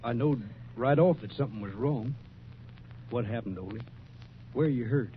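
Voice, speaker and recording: An actor playing a remorseful, loyal American desert prospector with folksy, halting speech in an old-time radio western. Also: male voice, medium pitch, close to an everyday voice. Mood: worried, tender. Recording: muffled, narrow-band old radio, faint noise.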